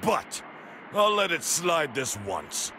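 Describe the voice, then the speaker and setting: An actor playing a proud, haughty alien warrior with a gravelly voice in an anime game. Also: male voice, a little high, very animated, slow, noisy background. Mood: scornful. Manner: haughty, scornful. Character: proud, arrogant adult male alien warrior.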